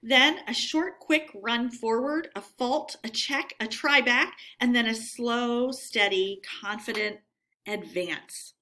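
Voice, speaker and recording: A woman narrating a storybook read-aloud in an expressive, measured, teacherly way. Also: on the high side.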